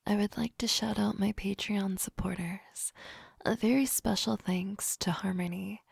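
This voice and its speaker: A woman speaking softly and in near whispers as an ASMR creator giving thanks in an outro. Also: medium pitch, fairly even intonation, unhurried, vocal fry, husky, no echo, studio quality. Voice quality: soft, whispery. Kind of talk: soft-spoken ASMR outro.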